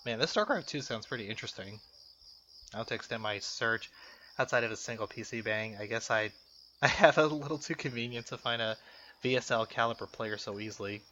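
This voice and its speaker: A man's voice: mid-range voice, fairly quick, sharp tone, slight background noise. A man doing casual, jokey let's play commentary, reacting aloud to a game and reading its text. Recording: muffled lo-fi mic, music behind.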